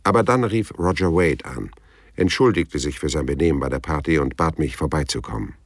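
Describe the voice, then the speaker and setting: A hard-boiled private eye for a radio play. The voice is very low and husky, closely and dryly recorded, and the delivery is blunt and laconic. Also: male voice, animated, brisk, no echo, heavily acted. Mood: wry, reflective. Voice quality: gravelly. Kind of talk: German radio crime drama acting and narration.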